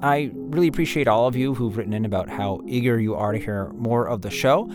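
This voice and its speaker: A man with a mid-pitched voice delivers an upbeat podcast announcement as host. His delivery is enthusiastic and lightly scripted, with music clearly playing underneath. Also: a little fast.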